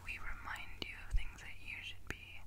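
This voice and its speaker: A young woman doing an ASMR roleplay as a nosy, mischievous sleep paralysis demon, teasing and intimate in manner. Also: pushed and bright. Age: young adult. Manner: slow, teasing, intimate whispering. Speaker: ASMR performer. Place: small indoor room, close to the camera. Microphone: close-up, within arm's length.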